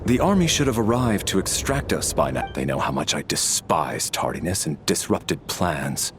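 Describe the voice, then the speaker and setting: A man's voice: low voice, sing-song, normal speed, background noise. An actor voicing a stern, rigid male military officer in a game cutscene, formal and clipped.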